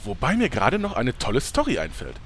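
A man's voice: medium pitch, wildly swooping pitch, fast talker, heavy background noise. Nagging chirpy sidekick, male actor, audio comedy: hugely varied intonation, pestering, theatrical. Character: relentlessly chatty, annoyingly cheerful sidekick. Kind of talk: German comedy audio drama acting.

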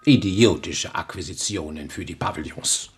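An actor playing a domineering, impatient boss of eccentric collectors in a radio play, giving clipped commands sprinkled with English words. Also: male voice, low voice, normal speed, lightly acted. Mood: stern, impatient. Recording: music behind the voice.

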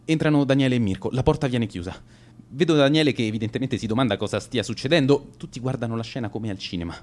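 A man's voice: medium pitch, quick pace, a little mellow, lightly acted. An actor playing a cowardly teenage schoolboy who boasts as an accidental bully, telling his story in a radio drama monologue with stammered hesitations. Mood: tense, rueful.

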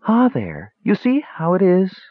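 A man voicing a cursed, sorrowful old shapeshifting wizard lord in a fantasy audiobook, slow and despairing. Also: high voice, huge pitch swings, no room sound, voice pushed up, full character voice. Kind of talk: dramatic fantasy audiobook character voice. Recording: slightly dull, lo-fi audio.

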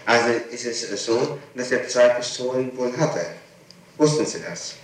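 Guy interrogating someone, short formal questions, medium pitch, big echo on old tape. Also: measured pace. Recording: very echoey, noisy archival tape, distant mic.

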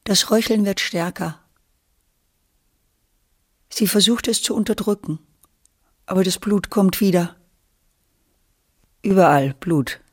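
A narrator of a literary radio play, telling the story in a measured, dramatic way with deliberate pauses. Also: mid-range voice, no echo, clean studio sound.

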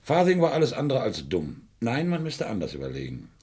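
An actor playing a shrewd, methodical middle-aged police inspector in a 1970s radio crime play, speaking deliberately and probingly. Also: male voice, medium pitch, wildly swooping pitch, darkish tone, slightly rough. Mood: shrewd, explaining.

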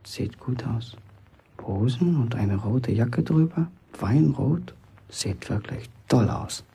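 Cocky young apprentice, gravelly voice. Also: male voice, mid-range voice, expressive, slow pace, mellow, heavily acted. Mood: dreamy, admiring. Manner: boastful, chatty inner monologue, colloquial. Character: boastful teenage village apprentice bricklayer, self-important, eager to impress. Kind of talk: German radio play acting, first-person monologue.

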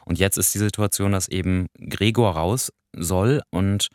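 A man's voice: low-pitched, measured pace, no room sound, clean studio sound. A man co-hosting a podcast, explaining and reviewing in a conversational way with small asides. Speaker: podcast co-host. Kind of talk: conversational radio play review.

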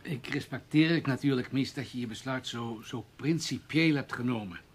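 Actor as a husky, diplomatic newspaperman. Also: medium pitch, close to an everyday voice. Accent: Dutch, Netherlands. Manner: measured, formal, conciliatory. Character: diplomatic, courteous senior newspaper colleague. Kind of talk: Dutch radio play acting.

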